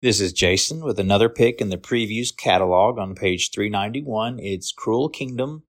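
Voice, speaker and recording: A man's voice: fairly low pitch, normal speed. A man casually presenting a comic catalog pick and reading its blurb aloud in a plain way.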